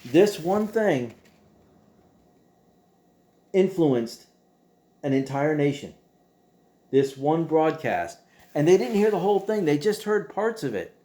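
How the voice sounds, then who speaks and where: A man's voice: on the high side, warm tone. A man hosting a show in a casual, opinionated monologue, rambling conversationally with emphatic rhetorical asides. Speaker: host of a show about old radio.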